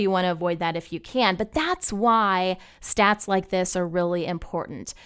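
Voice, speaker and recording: A woman host giving a casual, explanatory walkthrough of podcast stats software, talking the listener through each step. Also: mid-range voice, clear, resonant tone. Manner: casual, explanatory, uses "you know" and "gonna". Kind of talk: screen-share software tutorial.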